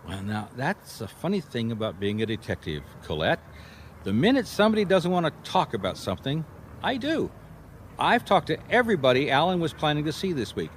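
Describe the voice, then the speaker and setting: An actor playing a wry, easygoing private detective with an American accent in a radio mystery, conversational and probing. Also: male voice, a little high, big pitch swings, fairly quick, a little hiss or hum. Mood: wry, persistent. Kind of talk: radio mystery drama with first-person narration.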